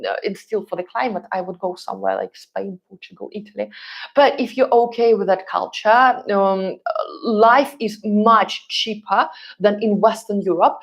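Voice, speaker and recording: A woman in her thirties whose first language is Russian hosts a live stream and answers viewers' questions. She talks at a middling pitch, chatty and loose, often pausing on fillers and restarting her sentences. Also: rough recording. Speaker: relocation consultant and host.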